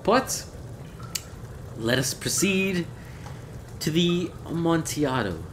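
A man voicing a drunken, proud nobleman in a gothic storybook, loud and exclamatory. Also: on the high side, very animated, takes it slowly, echoey room, background noise, pitched above the performer's own voice. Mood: incredulous, excited. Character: drunken, proud, coughing Italian nobleman in jester motley. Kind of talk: dramatic gothic audiobook reading.

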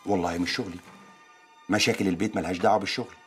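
An actor with an Egyptian accent playing a domineering, chauvinist newspaper boss, blunt and mocking. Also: male voice, low voice, fairly even intonation, rapid-fire, soft background music, natural voice. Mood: bossy, curt.

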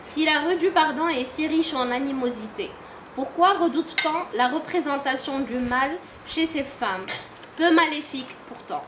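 A woman in her forties reading a prepared literary review aloud from her phone in a measured way, in a salon full of listeners. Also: sits high, a bit bright, echoey room, a little hiss or hum. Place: large salon room with audience.

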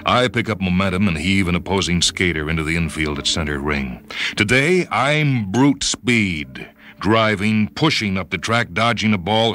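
A man's voice: deep voice, bright, forceful tone. A man voicing a tough, boastful star of a brutal sport, telling his story with intense swagger in a dramatic radio reading. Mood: boastful, driving. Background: background music.

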